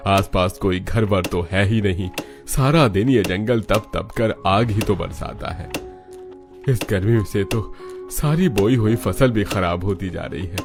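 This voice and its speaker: A man playing a weary, grumbling old father in an animated family story. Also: fairly low pitch, unhurried, over music.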